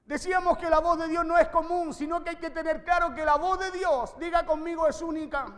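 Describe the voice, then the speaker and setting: A male preacher delivers an impassioned sermon in a very high, raised voice. He exhorts his listeners directly, hammering phrases home through repetition. Long reverb from the hall trails his words. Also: fairly even intonation, a little fast. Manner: exhorting, repeats phrases for emphasis. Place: large reverberant room, preaching to a congregation.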